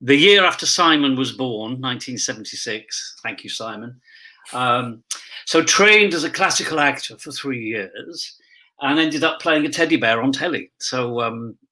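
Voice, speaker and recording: A man's voice: medium pitch, animated, moderate pace, pushed and bright, low-quality recording. A man in his sixties chatting as an interview guest, telling career anecdotes in a theatrical, drily jokey way. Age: 60s. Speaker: actor and pantomime dame, interview guest. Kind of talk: casual anecdotal chat.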